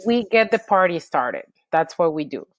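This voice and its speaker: A woman's voice: on the low side, expressive, moderate pace, no room sound. A woman from Peru with a Peruvian Spanish accent, talking upbeat about her entertainment business as a podcast guest, often restarting her sentences. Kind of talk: interview guest.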